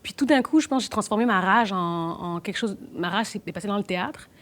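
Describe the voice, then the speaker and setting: A young adult woman from Belgium, talking fast with many restarts in a documentary interview. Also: medium pitch, fairly bright tone. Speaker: actress and playwright.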